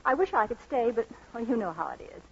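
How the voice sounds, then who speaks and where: An actress playing an unruffled, gracious doctor wife in an old radio comedy, polite and gently dry. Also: female voice, fairly high pitch, animated, quick pace, creaky, a little hiss or hum. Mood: gracious, breezy. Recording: muffled old radio broadcast recording.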